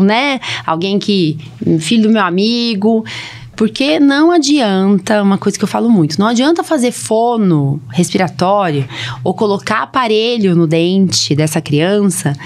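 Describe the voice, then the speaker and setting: A woman with a Brazilian accent and a medium-pitched voice hosts a podcast. She speaks casually, like a doctor chatting with listeners, and often adds little checking tags. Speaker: podcast host, allergist doctor. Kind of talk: casual podcast introduction and interviewing.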